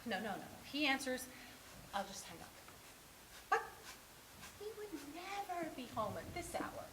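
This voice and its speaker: An actress playing an anxious, clumsy, lovelorn woman in a theatrical monologue, halting and scolding herself with dramatic swings. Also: sits high, big pitch swings, a bit bright, exaggerated voice. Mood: nervous, flustered. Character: anxious, clumsy, lovelorn mistress rehearsing a dreaded phone call. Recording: echoey room with faint noise.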